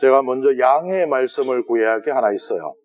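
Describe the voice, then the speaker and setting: A man with a Gyeongsang Korean accent lectures on scripture as a priest in a church sanctuary. His pitch sits mid-range for a man. He talks in a chatty, conversational way and keeps turning questions to the listeners. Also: fast talker, a little mellow. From South Korea. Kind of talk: lenten Bible lecture to a congregation.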